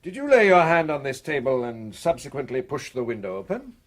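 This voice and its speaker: A man playing an unflappable British police inspector in a radio play, courteous and measured as he probes. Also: medium pitch, very animated. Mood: brisk, probing. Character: unflappable, politely probing male police inspector.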